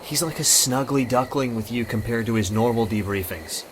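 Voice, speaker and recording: A man's voice: medium pitch, moderate pace, background noise, lightly acted. Goofy, easygoing young guy played by a man. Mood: teasing, casual. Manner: playful, casual bro-speak. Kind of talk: visual novel voice acting.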